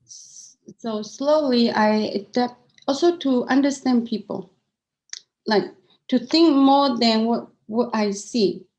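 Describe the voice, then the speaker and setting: Woman w/ cantonese accent, kinda high voice, earnest, restarts a lot. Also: takes it slowly, a little mellow, poor audio. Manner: earnest and candid, frequent restarts and repeated words. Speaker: guest. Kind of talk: interview conversation.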